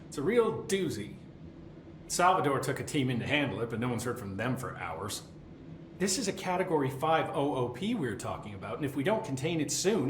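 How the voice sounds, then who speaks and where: An actor playing a fussy, deadpan middle-aged keeper of strange items, with dry rambling talk and awkward fillers. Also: male voice, medium pitch, lightly acted. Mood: nervous. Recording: echoey game cutscene with faint hiss.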